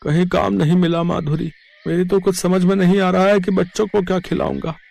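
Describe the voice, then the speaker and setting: A man's voice: high-pitched, level tone, a little fast, vocal fry, a little mellow, slight background noise. A man voicing a poor village farmer, an earnest, plaintive husband and father, in a moral story. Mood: worried.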